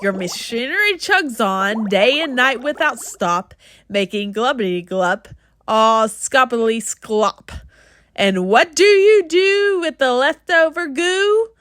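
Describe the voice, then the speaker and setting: A woman voicing a grumpy old creature in a children's storybook, scolding and indignant in a croaky, congested voice. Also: fairly high pitch, animated, slow, fairly bright tone, no echo, studio quality. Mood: snappish, scolding. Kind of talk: children's storybook read-aloud.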